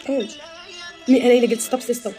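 Woman, 30s, Moroccan Arabic accent, high-pitched, animated live-stream chat, phone mic, faint music behind. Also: very fast. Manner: animated, emphatic, gestures a lot while talking. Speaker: social media personality. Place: small indoor room. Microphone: phone at arm's length.

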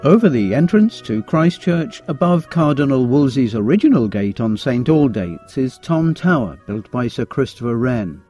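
A man reads a scripted walking tour in a measured, informative voice. His pitch moves freely and expressively through each sentence, and soft music plays behind him. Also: sing-song. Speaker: tour guide narrator. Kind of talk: scripted audio tour narration.

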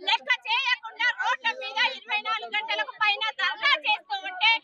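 A woman protester speaking forcefully and accusingly into a handheld microphone at an outdoor roadside sit-in. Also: extremely high pitch, steady tone, fairly quick, dull audio, a crowd in the background. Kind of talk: impassioned street statement. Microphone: handheld reporter mic.

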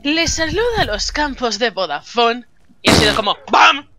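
A woman's voice: fairly high pitch, lively intonation, moderate pace, bright, forceful tone, a little hiss or hum. A woman playing a shooter online with a friend, joking around and bursting into playful exclamations. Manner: playful, joking, sing-song outbursts. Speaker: co-player guest. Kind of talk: casual gameplay chat.

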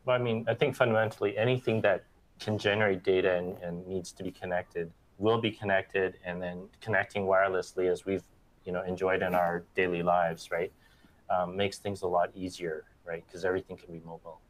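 A man's voice: deep voice, level tone, fairly quick, low-quality recording. A middle-aged man on a panel in a factory studio, explaining steadily and often ending points with "right", on a lapel mic. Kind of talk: panel discussion. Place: bright open factory studio set.

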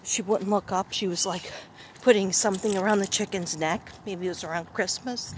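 A woman of middle pitch chats into her phone while walking outside, wandering from thought to thought and restarting her sentences. Faint street noise sits behind her voice. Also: fairly even intonation, moderate pace. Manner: rambling, restarts often, talks to her phone. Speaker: vlogger. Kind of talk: walking vlog, casual chat. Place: outdoors on a neighborhood street. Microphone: handheld phone.

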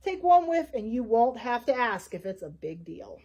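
A woman voicing an excitable, pushy male dodo who runs a cookie shop and talks over everyone, in a storybook read-aloud. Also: on the high side, moderate pace, slightly rough, full character voice. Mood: brash.

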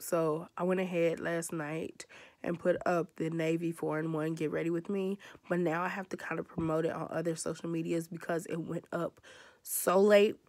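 A young American woman with a stuffy, hoarse cold voice, chatting casually and rambling in a tired way, recorded on a phone held close in her bedroom. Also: lowish voice. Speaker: beauty vlogger. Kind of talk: casual vlog chat. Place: bedroom, indoors.

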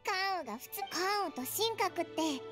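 A woman voicing a tiny, cheeky fairy companion girl who talks loudly and bluntly in a game dub. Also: extremely high pitch, moderate pace. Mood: chatty. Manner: loud, excitable, blunt. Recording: studio voice with game music.

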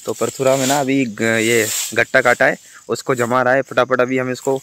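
A man's voice: mid-range voice, flat delivery, normal speed, a bit bright. A man, vlogging casually on a phone outdoors. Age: 20s. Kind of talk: casual chat to camera. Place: outdoors in an open farm field. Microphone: phone at arm's length. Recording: noisy handheld phone outdoor recording.

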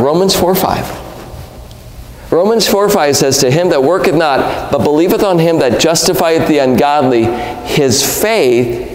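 A man in his forties preaches from a church pulpit into a mic, pressing hard on key words. His voice sits fairly high for a man. The sanctuary adds a roomy, long-tailed reverb. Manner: emphatic, rising on key words, gestures broadly. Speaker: evangelist preacher. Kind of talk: sermon with storytelling. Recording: echoey hall reverb.

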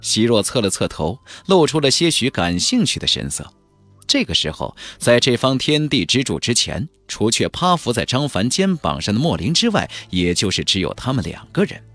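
A man narrating a fantasy audiobook in a measured, theatrical storytelling delivery. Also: mid-range voice, sing-song, a bit bright, dry recording, faint music behind. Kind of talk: dramatic scripted narration of a fantasy novel.

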